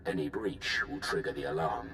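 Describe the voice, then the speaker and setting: A ruthless Sith lord from a video game cutscene, speaking slowly and bluntly in a very deep voice. Every word sounds measured and commanding, with a roomy echo and faint hiss behind it. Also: male voice, big pitch swings, a bit bright, full character voice. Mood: cold, calculating. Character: ruthless scheming Sith lord, calm and cold.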